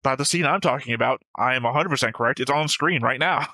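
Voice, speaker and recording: Bright-voiced man bantering on a reaction show. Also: medium pitch, quick pace, no room sound. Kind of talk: casual banter and comment reading.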